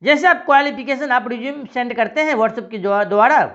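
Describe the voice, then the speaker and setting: A man promoting a job scheme, pitching straight to viewers and answering their imagined questions with repetition. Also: a little fast, bright, forceful tone, muffled recording.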